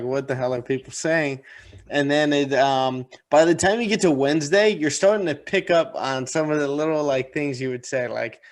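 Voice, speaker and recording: A young man hosting a live trading stream, chatting casually in a rambling way with many fillers. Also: on the high side. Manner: laid-back, rambling, lots of fillers. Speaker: forex trading stream host.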